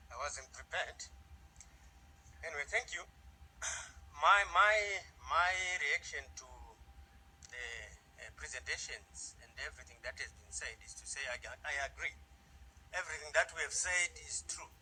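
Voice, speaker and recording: A man's voice: on the high side, normal speed, pushed and bright, a little hiss or hum, poor audio. Panelist man answering off the cuff. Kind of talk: unprepared panel remarks.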